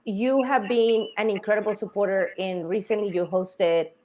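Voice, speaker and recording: A woman's voice: mid-range voice, steady tone, moderate pace, poor audio. Woman hosting a live-stream chat, easygoing and conversational, talking over a video call. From Colombia. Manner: warm, chatty, many "you know" fillers. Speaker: city council candidate hosting a live stream.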